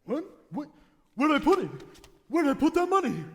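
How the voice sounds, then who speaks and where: A man's voice: very high voice, sing-song, moderate pace, voice pushed up, exaggerated voice. A man voicing a jolly, scatterbrained older small-town man in an old-style radio play, exclaiming, fumbling and repeating himself. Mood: panicked. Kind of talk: 1940s radio play acting. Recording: live stage taping in echoey hall.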